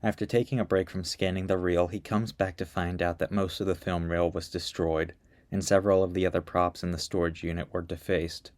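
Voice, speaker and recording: A man narrating a scripted video essay review in a measured, even storytelling delivery. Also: sits low, no room sound.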